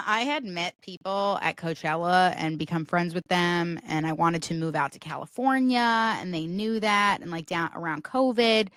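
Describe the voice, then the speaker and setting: A woman with a deep voice, guesting on a podcast and talking in a loose, rambling way full of "like". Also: fairly bright tone, no room sound. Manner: rambling, heavy use of "like" fillers. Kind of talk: casual chat.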